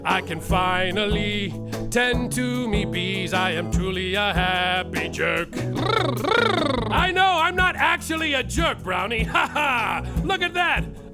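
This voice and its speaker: A hammy, bumbling detective, played by a man narrating his own adventure on a theater stage. His voice is strikingly high and animated, and music plays clearly behind him. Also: exaggerated voice. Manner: theatrical, over-the-top, comic narration. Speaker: actor and narrator in a live comedy musical. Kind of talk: live comedy musical podcast.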